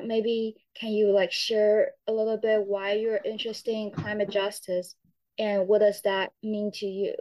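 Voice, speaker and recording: A woman's voice: mid-range voice, a little mellow, rough recording. A young woman hosting a podcast, asking questions in a hesitant way full of fillers. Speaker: podcast host. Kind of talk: podcast interview.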